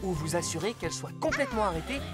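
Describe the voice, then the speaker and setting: A man voicing an earnest, caring young rescue police car, giving clear safety instruction in a children's cartoon. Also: sits high, big pitch swings, fast talker, loud music behind the voice. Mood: earnest, instructive. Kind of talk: French children's cartoon dub, safety lesson.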